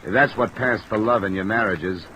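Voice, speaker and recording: An actor playing a tough, cool-headed insurance investigator, clipped and matter-of-fact, in an old-time radio drama. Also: male voice, lowish voice, moderate pace, background noise, natural voice. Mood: accusing, firm. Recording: old radio recording under light rain.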